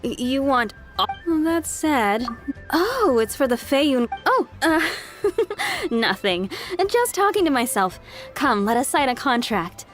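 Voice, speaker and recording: An actress playing a businesslike, polite merchant in a video game. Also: female voice, sits high, sing-song, takes it slowly, faint music behind, full character voice. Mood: flustered.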